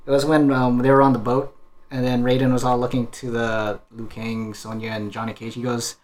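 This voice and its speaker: A man's voice: medium pitch, level tone, brisk. A man talking casually and conversationally as a panelist in a group discussion. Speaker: podcast panelist. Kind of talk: casual chat.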